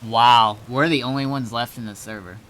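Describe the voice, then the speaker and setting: A man's voice: medium pitch, steady tone, normal speed, fairly bright tone. A man chatting casually with other players in an online roleplay game. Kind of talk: casual gaming voice chat. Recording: noisy game voice chat audio.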